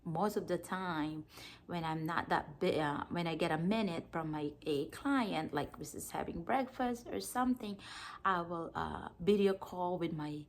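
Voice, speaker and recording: Woman from the philippines rambling to camera, kinda low voice, lots of ums. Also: unhurried. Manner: chatty, rambling, many fillers and restarts. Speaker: vlogger. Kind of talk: casual talk to camera.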